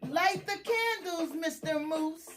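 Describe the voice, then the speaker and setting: A woman puts on a lady moose hosting dinner in a children's storybook. She is fussy, gracious and a touch hesitant, speaking very high and drawing her words out slowly. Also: fairly bright tone, faint music behind, exaggerated voice. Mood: welcoming, organizing. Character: gracious, fussing female moose hostess of dinner.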